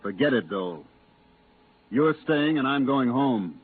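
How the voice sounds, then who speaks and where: An actor playing the firm, weary commander of a space outpost in a 1950s radio drama. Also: male voice, medium pitch, lively intonation, measured pace, loud music behind the voice, lightly acted. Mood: firm, commanding. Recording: noisy, narrow-band 1950s radio recording.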